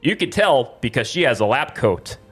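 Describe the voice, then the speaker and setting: A man hosting a casual talk show, excitedly rambling with stammers and slipping into hammy script narration. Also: mid-range voice, normal speed, breathy edge. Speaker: show host. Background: suspenseful crime music playing in the background.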